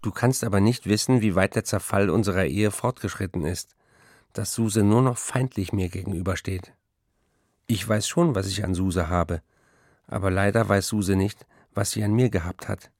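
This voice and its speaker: A man's voice: lowish voice, moderate pace, darkish tone, no echo, studio quality. An actor playing an embittered, weary male writer who reads out his letters in a radio drama. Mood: bitter.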